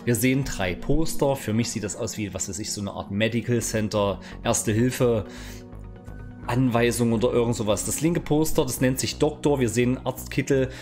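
A man's voice: mid-range voice, normal speed, a little mellow, soft background music. A man in his thirties presenting video game news in a casual, explanatory way, recorded close on a studio mic. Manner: informal, explanatory. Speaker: gaming YouTuber. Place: small indoor home streaming room. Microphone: studio microphone on boom arm, close.

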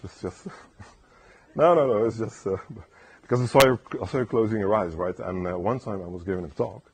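A man giving a casual technical talk at a conference, rambling and tagging "right?" onto his points in a soft, soothing voice. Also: fairly low pitch, fairly quick, crowd noise. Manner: rambling, keeps asking "right?". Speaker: conference speaker. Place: lecture room.

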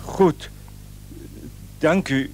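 An anxious man seeking medical help in a radio drama. His voice sits slightly high, and he speaks haltingly and pleadingly, often doubling back on his words. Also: a little mellow, slight background noise. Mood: hesitant, uneasy. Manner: pleading, hesitant, repeats himself. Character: anxious, hesitant male patient with swollen face. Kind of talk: Dutch radio play acting.

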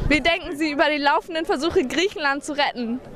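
High-voiced woman interviewer, brisk and playful, noisy outdoor crowd. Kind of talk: street interview questions. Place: crowded Christmas market outdoors at night. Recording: crowd noise behind.

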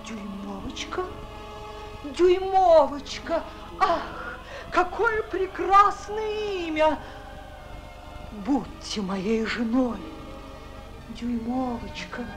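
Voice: high voice, big pitch swings, very slow, long pauses, exaggerated voice, rough recording. A performer playing a young, lovestruck, melodramatic elf prince in a classic cartoon, sighing and swooning theatrically. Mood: smitten. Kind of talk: classic Soviet cartoon voice acting. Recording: old cartoon soundtrack with music.

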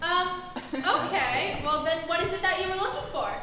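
A woman's voice: extremely high pitch, expressive, normal speed, sharp tone, exaggerated voice. A woman playing an exasperated, sarcastic young supermarket cashier in a stage comedy, emphatic and put-upon. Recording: distant, very echoey theatre stage recording with noise.

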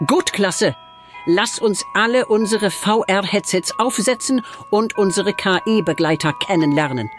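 A woman's voice: medium pitch, a little slow, soft background music, lightly acted. A woman voicing a strict female math teacher in a children's audio drama, speaking in a firm, instructive way.

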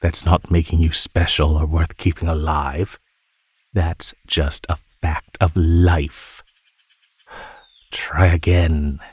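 A man voicing a teasing, menacing male naga in an ASMR roleplay, speaking in a mocking, condescending drawl with pauses. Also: rumbling low, flat delivery, darkish tone, slightly rough, no room sound, full character voice. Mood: smug, threatening. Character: teasing, menacing male naga predator toying with captive prey.

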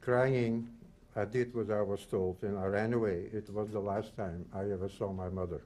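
A man in his eighties from the Netherlands and Belgium, reading a prepared personal testimony in measured, solemn short phrases. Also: fairly low pitch, fairly even intonation, dark tone. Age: 80s. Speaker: Holocaust survivor giving testimony.

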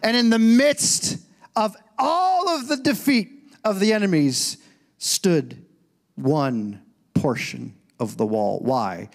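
A man in his forties preaching a sermon on a church stage into a handheld microphone, swinging between loud emphatic lines and quiet conversational asides. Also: high voice, lively intonation, slow. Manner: preaching with rising and falling intensity, conversational asides. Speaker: pastor.

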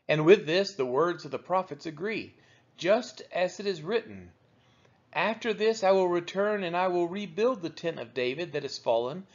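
Expressive higher-voiced man reading scripture. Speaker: Bible reader. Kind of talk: scripture read aloud, measured narration. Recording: dull, lo-fi recording.

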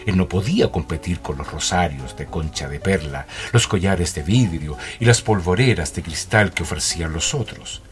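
An actor narrating a radio drama as a storyteller. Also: male voice, sits low, lively intonation, normal speed, few pauses. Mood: storytelling. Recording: music behind the voice.

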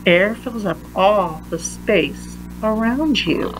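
A woman reading a children's science picture book aloud with deliberate, emphatic phrasing for young listeners. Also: medium pitch, expressive, over music, poor audio. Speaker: read-aloud reader.

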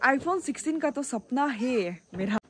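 A young woman from Arunachal Pradesh, chatting excitedly to her phone camera while vlogging around a hill village. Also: high-pitched, a little slow, a little hiss or hum. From Arunachal Pradesh, India. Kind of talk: casual vlog narration.

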